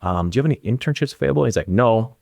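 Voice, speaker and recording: A man's voice: medium pitch, lively intonation, very fast, vocal fry, a little mellow, no echo, clean studio sound. A man in his forties with an Upper Midwestern American accent, talking casually and anecdotally as an interview guest. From northern Minnesota, USA. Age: 40s. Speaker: guest, real estate agent.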